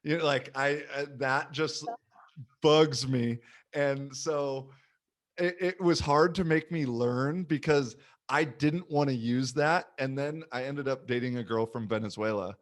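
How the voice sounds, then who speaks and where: A young man hosting a podcast, chatting casually and animatedly, with quick asides and little voice impressions in his stories. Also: medium pitch. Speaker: podcast host. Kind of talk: casual conversation.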